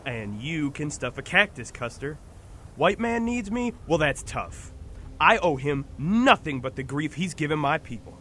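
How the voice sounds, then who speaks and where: A man's voice: fairly high pitch, sing-song, a little slow, a bit bright, a little hiss or hum. A man playing a proud, hot-tempered young Apache hunter in an audio drama, brash and scornful, talking in folksy Western slang. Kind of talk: dramatic comic-book audio drama acting.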